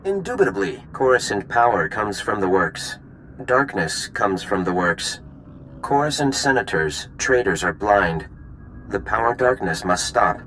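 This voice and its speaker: Stilted pedantic alien science machine. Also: male voice, fairly low pitch, very animated, measured pace, bright, forceful tone, a little hiss or hum, exaggerated voice, low-quality recording. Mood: formal, curious. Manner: stilted, oddly worded, formal. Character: alien science droid with odd stilted speech, politely pedantic. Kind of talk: video game dialogue.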